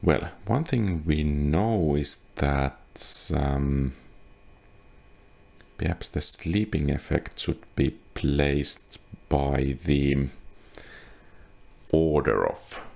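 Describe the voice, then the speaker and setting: A man's voice: very deep voice, drawn-out words, vocal fry, darkish tone. A man giving casual gameplay commentary, muttering as he thinks aloud. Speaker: gaming video host.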